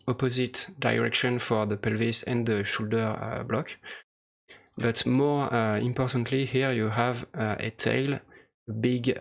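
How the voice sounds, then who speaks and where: Gravelly-voiced man giving a halting tutorial. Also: medium pitch. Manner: hesitant, halting delivery in short phrases. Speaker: software tutorial presenter. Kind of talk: unscripted screen-recorded tutorial walkthrough. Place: voice-over for a screen recording.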